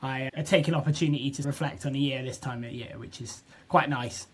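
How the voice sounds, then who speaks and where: A man's voice: mid-range voice, fairly even intonation, a little fast, breathy edge. A young man from southwest England, a podcast guest talking casually and restarting his sentences often. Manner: chatty, restarts often, repeats words. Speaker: podcast guest, business co-founder. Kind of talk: casual interview chat.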